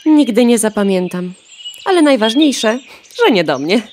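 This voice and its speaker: A cheeky, self-absorbed young hen in a children's radio play. The delivery is nonchalant and flippant, with lively swings of pitch. Faint birdsong and background noise sit behind the voice. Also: female voice, normal speed.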